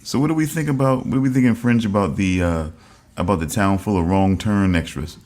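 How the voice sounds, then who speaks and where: Give me a teasing podcast co-host, a man with a low, mellow voice. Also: brisk. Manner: joking, teasing, repeats himself. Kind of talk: casual chat.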